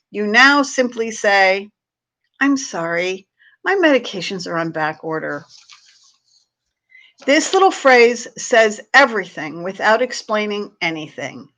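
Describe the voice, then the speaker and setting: A woman's voice: fairly high pitch, a bit bright. A woman reading a humorous piece aloud as a library host, with playful, theatrical emphasis. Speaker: library staff reader hosting a read-aloud. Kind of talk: expressive read-aloud of a humorous short piece.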